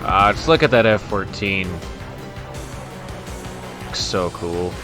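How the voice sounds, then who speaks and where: A man's voice: sits low, slow pace. A man doing casual, rambling gameplay commentary with informal, grumbling asides. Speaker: gamer commentating on own gameplay. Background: flight combat game sounds, explosions and music.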